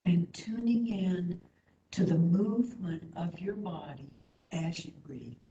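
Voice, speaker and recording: Female meditation instructor, very slow and soothing, low-pitched, recorded in an echoey room with slightly dull sound. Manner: soothing, unhurried, gentle. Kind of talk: guided meditation.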